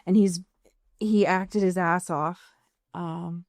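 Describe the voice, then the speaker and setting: A woman's voice: lowish voice, fairly even intonation, takes it slowly, darkish tone, dry recording. An American woman co-hosting a casual recap podcast, emphatic and sweary, often rambling and correcting herself as she goes. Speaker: podcast co-host. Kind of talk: casual TV and film recap chat.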